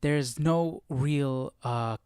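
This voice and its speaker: Clear, close-sounding man thinking aloud casually. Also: medium pitch, very slow, a little mellow, no echo. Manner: tentative, questioning, musing. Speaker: livestream Bible study host. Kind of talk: casual live study talk, thinking aloud.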